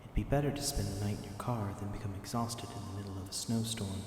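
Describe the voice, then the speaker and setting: Echoing low-voiced male story narrator. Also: fairly even intonation, fast, over music. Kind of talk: scripted second-person story narration for ASMR roleplay. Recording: very echoey, long reverb, clear background noise.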